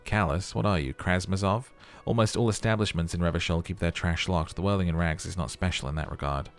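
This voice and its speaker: A man's voice: low voice, a little fast, soft background music. A man voicing a male hostel manager who is curt, sarcastic and easily irritated. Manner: curt and mocking.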